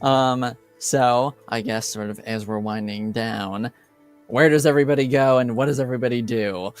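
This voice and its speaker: A man speaking as a game master in a casual, halting way, pausing mid-sentence as he narrates. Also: mid-range voice, fairly even intonation, soft background music.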